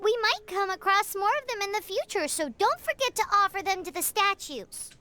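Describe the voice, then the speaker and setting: A woman voicing a tiny, childlike fairy companion in a video game, chirpy and curious with a squeaky voice. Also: expressive, a little fast. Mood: chirpy, chatty. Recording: game audio under clear music.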